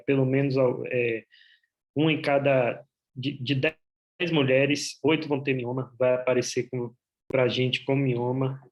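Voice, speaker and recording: Bahian guy doc on a video call, pretty flat monotone, lots of "é" pauses. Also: mid-range voice, muffled recording. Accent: Bahian Portuguese. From Bahia, Brazil. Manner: explanatory, with frequent "é" fillers. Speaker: gynecologist. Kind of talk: online medical presentation.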